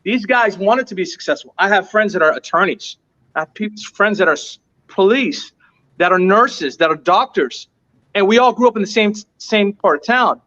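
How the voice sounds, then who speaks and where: A man's voice: high voice, animated, moderate pace, pushed and bright, dull audio. A man who owns a restaurant, telling his story as an interview guest with emphatic, earnest repetition. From United States. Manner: emphatic, repeats points for stress.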